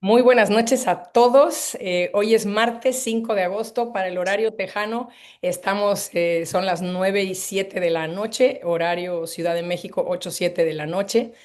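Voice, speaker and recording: A woman opens an online talk over a video call. She gushes and rambles, filling pauses with hesitations as she warms up her audience. Her voice sits in a middle register. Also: mid-range voice. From Mexico City. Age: 50s. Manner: effusive and rambling, with many hesitations. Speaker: webinar host. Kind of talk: online presentation to a live audience.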